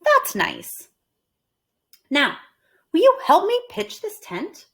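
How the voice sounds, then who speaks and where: A cheerful storybook housekeeper played by a woman reading aloud, high in pitch and very swoopy. She exclaims with earnest eagerness and takes everything literally. Her words come out slowly and deliberately. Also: huge pitch swings, creaky, a bit bright, exaggerated voice. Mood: cheerful, eager.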